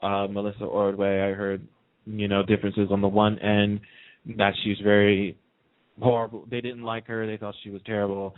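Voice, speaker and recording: A man's voice: fairly low pitch, monotone, moderate pace, no room sound. A man hosting a radio show, chatting casually and giving his opinions on television. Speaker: radio talk show host. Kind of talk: casual panel chat about TV soaps. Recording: muffled phone-like radio line.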